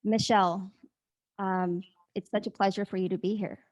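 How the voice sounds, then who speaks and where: A woman's voice: mid-range voice, level tone, normal speed, darkish tone. A woman hosting an online storytelling gathering, speaking in an effusive, encouraging way as she gives inspirational remarks and introductions. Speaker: host of an online storytelling rally. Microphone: video call.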